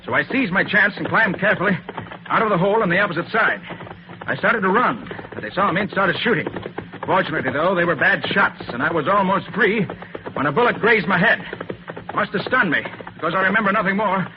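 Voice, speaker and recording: A hissy, dull old radio recording carries a sharp-witted master detective. He is a man with a slightly high voice who talks rapidly and with confidence, laying things out like a seasoned radio-drama lead. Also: lively intonation. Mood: urgent, recounting. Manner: brisk, assured explaining.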